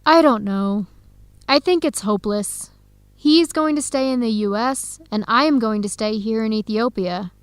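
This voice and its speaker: An actress playing an earnest young researcher who confides carefully and clearly in an English-learning radio drama. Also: female voice, a little high, moderate pace, no echo, lightly acted. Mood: sad, hopeless.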